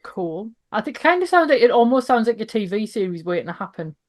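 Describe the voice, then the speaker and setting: A woman's voice: a little high, sing-song, quick pace, a little mellow. A woman hosting a podcast, introducing her guest and asking casual, chatty questions. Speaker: podcast host.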